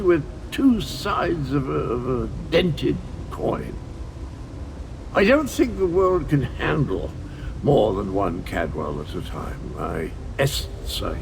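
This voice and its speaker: An actor playing a gentle, muddled old undead knight in a video game, fading and speaking haltingly with a creaky, rueful voice. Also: male voice, medium pitch, background noise, exaggerated voice. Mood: fading, wistful. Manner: halting, wistful, rambling. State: fading, weakening. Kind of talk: video game character dialogue.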